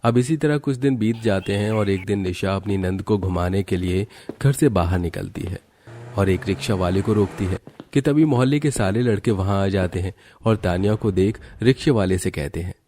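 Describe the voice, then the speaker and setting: A man's voice: low-pitched, normal speed, mellow, a little hiss or hum. A man narrating a scripted cartoon family story as a storyteller.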